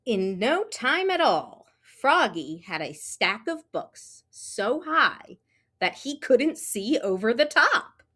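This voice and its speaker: A woman reading a children's picture book aloud, with expressive, drawn-out storytelling and sound effects. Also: on the high side, slightly rough. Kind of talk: animated children's read-aloud narration.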